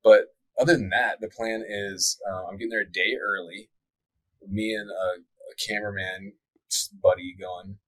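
A young man with a lowish voice talks through his plans in a relaxed, rambling podcast chat. He fills his sentences with likes and ums and keeps an easy, upbeat tone. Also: no room sound, poor audio. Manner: laid-back, many likes and ums, faith talk.

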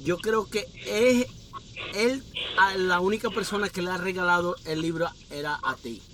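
High-voiced Ecuadorian man chatting from his car. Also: moderate pace, background noise. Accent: Ecuadorian Spanish with some Dominican touches. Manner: chatty, meandering storyteller. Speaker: podcast host. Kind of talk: casual interview chat. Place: inside his parked car. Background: faint street sounds.

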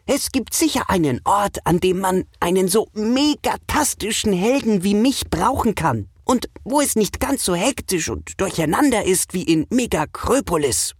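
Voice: mid-range voice, expressive, moderate pace, few pauses, breathy edge, dry recording, clean studio sound, voice pushed up. A narrator voicing an eager, boastful young superhero who gushes and gets flustered and stammers, in a children's audio story. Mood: excited. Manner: exuberant, stammering, invents gushing super-words.

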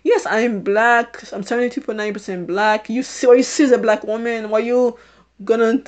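A woman's voice: fairly high pitch, steady tone, a little fast. Rambling woman from Haiti, British-sounding accent. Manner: rambling, repeats herself, laughs often. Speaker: podcast host. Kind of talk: casual solo podcast monologue.

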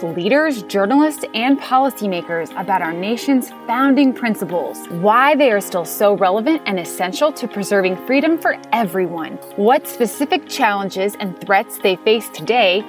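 A woman reading a scripted podcast introduction in a smooth manner. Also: on the high side. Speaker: show announcer. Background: faint music.

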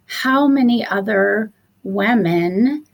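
A woman's voice: mid-range voice, animated, slow, creaky, poor audio. A woman in her fifties, a coach for mothers, speaking as a podcast guest in an earnest, heartfelt way with many "you know"s. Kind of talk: casual interview talk.

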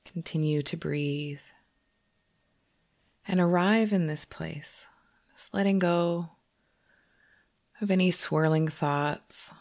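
Woman meditation teacher, kinda low voice, gentle, takes her time w long pauses between phrases. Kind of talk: guided meditation.

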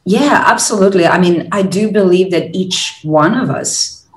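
A woman's voice: lowish voice, moderate pace. A woman from Croatia, guest on a podcast, explaining her field earnestly and thoughtfully, with hesitant fillers. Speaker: guest, positive psychology lecturer and consultant. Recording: roomy echoey sound.

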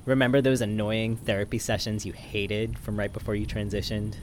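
A man reading a personal essay aloud in an earnest, measured way. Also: fairly low pitch, little pitch movement, slight background noise.

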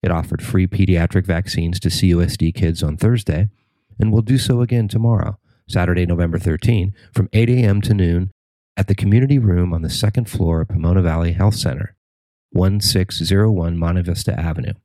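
A man's voice: low-pitched, brisk, warm tone, no room sound, poor audio. An American man reading a scripted local news report as the narrator.

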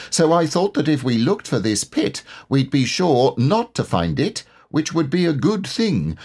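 Man acting a slow, humble bear. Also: mid-range voice, a little mellow, breathy edge, pitched above the performer's own voice, full character voice. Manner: slow, halting, musing delivery. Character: slow, humble, earnest young-hearted male toy bear.

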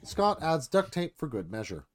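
A man's voice: a little high, sing-song, moderate pace. A man narrating stage directions from a screenplay in a live scripted read.

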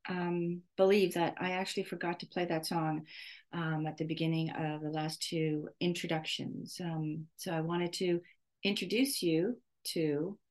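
A middle-aged woman hosting a podcast, talking off the cuff in a hesitant, halting way as she searches for her words. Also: on the low side, a little mellow. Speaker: podcast host. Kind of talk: off-the-cuff solo podcast introduction.

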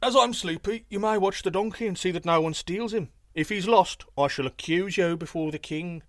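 A man doing a brisk, scheming young thief. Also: high voice, dry recording, studio quality, pitched above the performer's own voice, close to an everyday voice. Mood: loud, friendly.

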